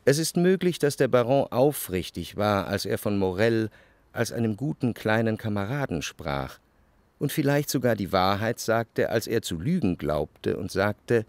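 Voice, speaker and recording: An actor playing a reflective, well-off man of letters, narrating a literary radio play in a measured, analytical way. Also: lowish voice, very animated, a little mellow, dry recording. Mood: contemplative. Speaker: first-person narrator.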